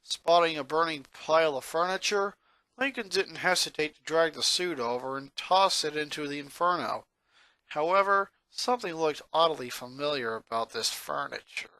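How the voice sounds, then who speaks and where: A man reading a story aloud as narrator, in a dramatic, expressive storytelling style. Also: a little high, no echo. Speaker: narrator, fan fiction reader.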